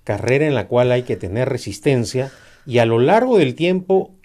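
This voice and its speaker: Brief: middle-aged Peruvian man, emphatic political guest, lively intonation, hesitant fillers. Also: medium pitch, normal speed. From Peru. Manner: emphatic, argumentative, many hesitations. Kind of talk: political interview.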